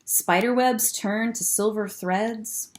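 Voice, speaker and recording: A woman reading aloud the lines of a gentle mother owl in a picture book. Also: medium pitch, slow pace, natural voice. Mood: tender.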